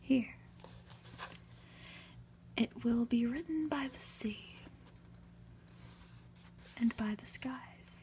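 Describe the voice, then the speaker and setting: A woman whispering softly and breathily in an ASMR style, gentle and unhurried, as a reader and host. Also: fairly high pitch, a little hiss or hum. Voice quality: breathy, mostly unvoiced whisper. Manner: soft, unhurried, gentle delivery. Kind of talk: whispered ASMR, storybook reading and soft chat.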